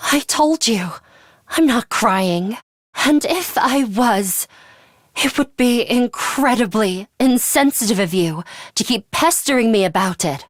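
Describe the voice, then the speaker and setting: An actress playing a stern, aloof young woman in a video game, speaking in a curt, commanding, deliberate way. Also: medium pitch, breathy edge, no echo, studio quality. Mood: irritated. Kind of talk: scripted video game character lines.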